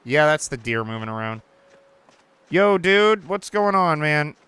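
A young man giving casual, chatty commentary over a survival game, reacting out loud and swearing now and then. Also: a little high, lively intonation, measured pace, clear, resonant tone. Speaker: gaming channel host. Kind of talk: casual let's-play commentary. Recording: faint game ambience behind.

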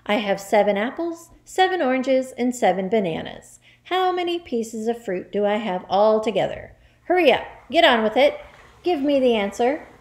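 A woman's voice: fairly high pitch, sing-song, moderate pace, few pauses. A woman voicing a huge, bullying headmistress in a children's story, barking orders and insults. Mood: furious. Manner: barking, snapping, shouted commands and insults. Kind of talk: children's storybook character reading.

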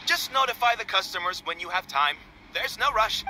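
An actor playing a stern, dutiful theater manager in a video game, formal and measured, protective of his troupe. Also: high-pitched, lively intonation, noisy background. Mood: appreciative. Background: loud background music.

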